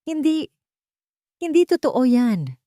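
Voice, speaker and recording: A woman's voice: high-pitched, big pitch swings, slow, warm tone, dry recording, voice pushed up, heavily acted. A woman narrator plays a wounded young man in an audio romance. He is bitter and accusing, with a cold, cutting delivery in a very dry recording. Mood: hurt accusation.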